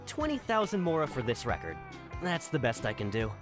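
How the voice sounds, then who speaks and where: A woman's voice: extremely low pitch, very animated, brisk, music in the background. A woman voicing a businesslike shopkeeper in a video game. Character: shopkeeper haggling over a record sale.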